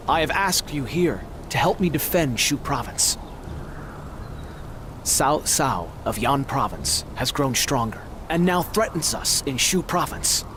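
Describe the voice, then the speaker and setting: An actor playing a humble, anxious old governor of ancient China in a video game, formal and pleading. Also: male voice, a little high. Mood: grateful. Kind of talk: video game dramatic acting. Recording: voice under loud music.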